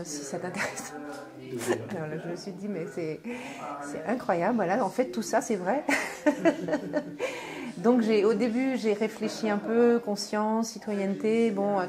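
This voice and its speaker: Middle-aged woman, mid-pitched, chatty storyteller, crowd behind. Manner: warm storytelling, many fillers. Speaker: psychopedagogue. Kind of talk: vox pop interview.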